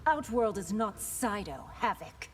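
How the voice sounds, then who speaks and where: An actress playing a proud, compassionate warrior princess in a video game, speaking firmly and earnestly. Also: female voice, medium pitch, very animated, a little slow, slightly rough. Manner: earnest, firm. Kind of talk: video game fighter dialogue.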